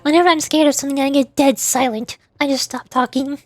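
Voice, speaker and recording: A woman's voice: high voice, fairly even intonation, fast talker, no room sound, soft background music. A woman livestreamer chatting casually with viewers, rambling and often repeating words as she forms her thoughts. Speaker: VTuber livestreamer.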